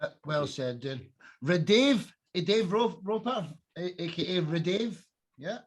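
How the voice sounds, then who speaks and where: A man's voice: high-pitched, animated, unhurried, slight background noise. A man hosting a casual music podcast over a video call, enthusiastic and matey. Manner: enthusiastic, matey, calls people bro and dude. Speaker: host.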